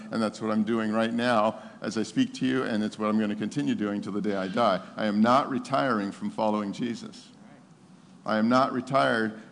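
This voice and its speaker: At the pulpit of a big echoing hall, a man of seventy with a creaky, gravelly voice tells his own story to the congregation, driving phrases home. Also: fairly low pitch. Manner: emphatic, repeats phrases for effect. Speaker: pastor preaching to his congregation. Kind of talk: sermon with personal testimony. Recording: long hall reverb.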